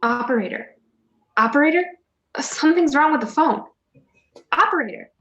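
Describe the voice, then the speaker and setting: A woman's voice: sits high, lively intonation, moderate pace, fairly bright tone. An actress playing a worried suburban neighbour woman in a radio play. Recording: dull, echoey home recording.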